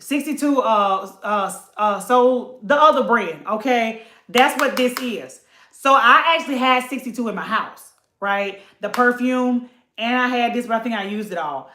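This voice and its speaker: A woman in her late thirties with a fairly high voice talks viewers through a budget beauty haul. She chats casually and calls them y'all. The sound is roomy and echoey. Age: late 30s. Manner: chatty, animated, addresses viewers as y'all. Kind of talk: casual haul chat to camera.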